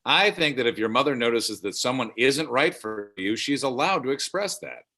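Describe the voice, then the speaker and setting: A man's voice: mid-range voice, expressive, normal speed, pushed and bright. A man acting a stubborn, moralizing older father at a comedy table read, prudish and insistent. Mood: measured.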